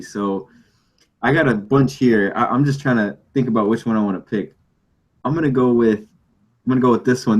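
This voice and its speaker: A man with a mid-pitched voice co-hosts a podcast. He banters casually in colloquial phrases and repeats them to make his point. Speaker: podcast co-host.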